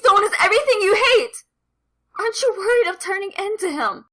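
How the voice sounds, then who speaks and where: A woman voicing an impatient, exasperated young girlfriend with a confrontational manner in an animated superhero drama. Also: very high voice, fairly quick, heavily acted.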